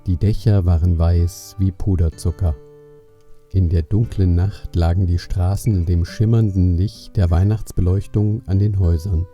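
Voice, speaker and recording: A man narrating a scripted children's story in a measured way, speaking directly to the young listener. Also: low voice, steady tone. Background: soft plucked guitar music.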